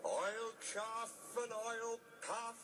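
A man voicing a mischievous little steam engine in a children's story, pretending to be a ghost with spooky, drawn-out shrieks and wails. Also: very high-pitched, music in the background, pitched above the performer's own voice, heavily acted. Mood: spooky, menacing.

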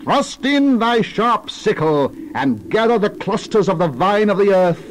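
Man acting out a mighty angel proclaiming judgment, high and loud. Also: lively intonation, unhurried, slight background noise, low-quality recording. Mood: thundering. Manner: declamatory, urgent, solemn.

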